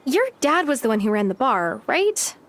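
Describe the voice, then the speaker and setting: A woman's voice: sits high, sing-song, moderate pace, a bit bright, full character voice. A woman voicing a friendly, curious young woman who has just moved to a farm, in a cozy video game. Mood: curious, teasing. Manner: friendly, curious, lightly teasing.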